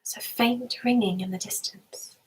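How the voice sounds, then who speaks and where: A woman softly guiding a meditation in an ASMR style, mostly in a breathy whisper, soothing and gentle, often repeating words. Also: a little high, fairly even intonation, normal speed, rough recording. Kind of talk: ASMR guided meditation roleplay.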